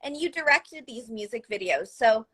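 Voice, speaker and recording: A woman's voice: on the high side, expressive, moderate pace, a bit bright. A young woman hosting a live interview in an upbeat, encouraging way.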